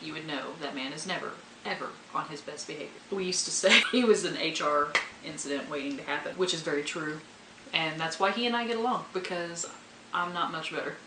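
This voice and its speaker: A woman hosting a video, giving a casual, candid, self-deprecating intro. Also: low-pitched. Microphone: camera's built-in microphone, no external mic. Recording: echoey room, faint background noise.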